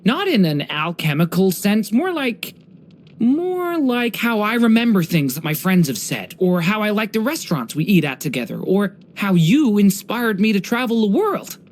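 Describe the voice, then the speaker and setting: Game role: young dragon in boy's form played by an adult actor, mid-range pitch, gentle, polite, curious and a little hesitant. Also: sing-song, moderate pace, close to an everyday voice. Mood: thoughtful, hopeful. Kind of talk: scripted game character voice lines.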